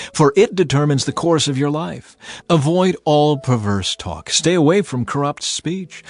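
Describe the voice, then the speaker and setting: A man giving a dramatized reading of scripture as a narrator, theatrical and emphatic, in the manner of someone urging a listener. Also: mid-range voice. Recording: music bed under the voice. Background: music.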